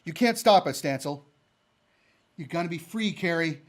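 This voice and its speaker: Man playing earnest higher-voiced young-hearted cowboy. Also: animated, normal speed, natural voice. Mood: defiant. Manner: plainspoken, rustic Western speech. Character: earnest, stubborn, unarmed cowboy in love. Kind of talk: old-time radio Western acting.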